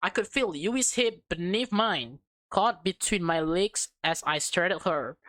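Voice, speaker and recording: A woman's voice: lowish voice, unhurried, fairly bright tone, dry recording, studio quality. A woman reading a visual novel aloud as a let's player, stumbling over and repeating words, with slangy, casual reactions.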